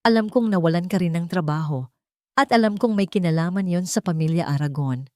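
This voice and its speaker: A woman's voice: fairly low pitch, fairly quick, darkish tone, no room sound, lightly acted. A woman plays an earnest, troubled businessman in an audio romance. He talks in a controlled, direct way and keeps his composure. The sound is dry and close. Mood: concerned, earnest.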